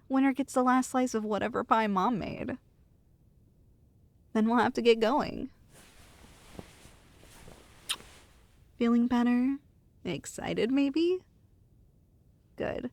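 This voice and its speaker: A young woman acting as a teasing, affectionate girlfriend in an ASMR roleplay. Her voice is fairly high and swings freely up and down as she chats reassuringly to one listener. It is close-miked and very dry, with a playful, intimate manner. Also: dry recording. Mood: affectionate, excited. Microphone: close studio mic.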